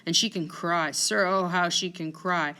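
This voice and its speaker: A woman reads the part of a proud general eaten up by jealousy. The voice sits a little low, wrathful and full of bitter sarcasm. Each line lands as an accusation in a stage tragedy read aloud. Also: animated, brisk. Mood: scornful rage. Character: jealous, raging military general, a married man.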